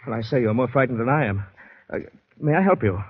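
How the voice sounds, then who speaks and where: An actor playing an anxious, shell-shocked Canadian soldier telling his story haltingly in a vintage radio suspense drama, on a dull recording. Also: mid-range voice, expressive, breathy edge. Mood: nervous, polite. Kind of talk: 1940s radio drama acting, first-person suspense narration. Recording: dull vintage radio, faint music behind.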